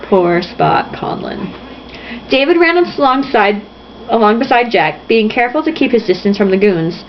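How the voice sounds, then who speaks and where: A fairly high-pitched woman gives a lively, swooping read-aloud of a novel. She is theatrical and casual, slipping into mocking asides. The recording is dull and lo-fi, with faint noise behind her. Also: normal speed. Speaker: host reading a novel aloud with jokey commentary.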